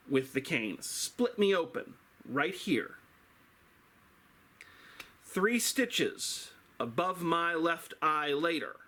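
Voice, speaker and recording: A middle-aged man telling an anecdote like a drama teacher, theatrical and wry, with dramatic pauses and emphatic delivery. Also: on the high side, bright, forceful tone. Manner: self-deprecating, wry.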